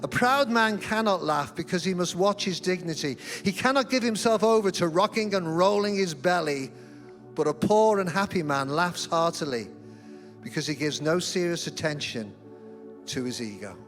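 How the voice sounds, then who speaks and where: A middle-aged male pastor with a notably high voice, preaching in a church. He tells humorous, self-deprecating stories. Music plays clearly underneath. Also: expressive, moderate pace. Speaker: senior pastor. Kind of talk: sermon. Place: church auditorium.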